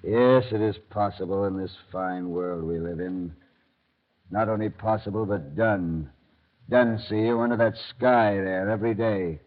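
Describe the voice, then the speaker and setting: An actor playing a grim, bitter French wine-shop keeper in an old radio drama, deliberate and ominous in manner. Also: male voice, lowish voice, natural voice. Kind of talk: 1930s radio acting. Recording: dull old radio recording.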